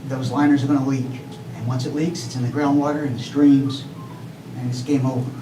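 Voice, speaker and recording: A man giving an urgent, earnest public comment at a city council meeting, halting with fillers as he goes. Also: medium pitch, flat delivery. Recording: echoey hall, distant mic, noisy.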